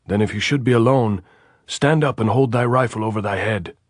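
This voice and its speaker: A man's voice: mid-range voice, fairly quick, darkish tone, husky, no room sound, clean studio sound, pitched above the performer's own voice, lightly acted. A man voicing a suspicious male militiaman who calls out questions from behind a trench wall.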